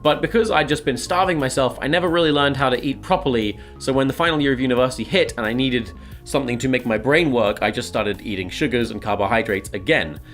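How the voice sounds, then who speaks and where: A man's voice: medium pitch, quick pace, fairly bright tone, soft background music. A man in his twenties with a British accent, telling a personal story in a semi-scripted, confessional way as a video creator.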